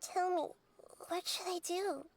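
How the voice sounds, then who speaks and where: An actress voicing a gentle, wise, childlike goddess in a video game, speaking softly and thoughtfully. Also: female voice, extremely high pitch, fairly even intonation, unhurried, background noise. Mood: pensive. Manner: thoughtful, soft and careful. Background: game music.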